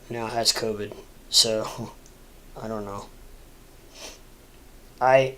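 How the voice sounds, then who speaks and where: Slow, rambling, stuffy-sounding man. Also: medium pitch, fairly bright tone. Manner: slow, halting, full of fillers and restarts. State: stuffy, congested. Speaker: crypto livestreamer. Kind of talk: rambling casual livestream chat. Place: home room, seated at a desk.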